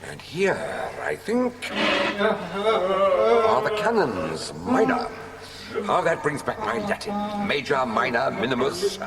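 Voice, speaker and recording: An actor playing a suave, menacing sorcerer villain in an audio drama, theatrical and mockingly polite with drawn-out threats. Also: male voice, high voice, huge pitch swings, creaky, heavy background noise, full character voice. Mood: mockingly genial.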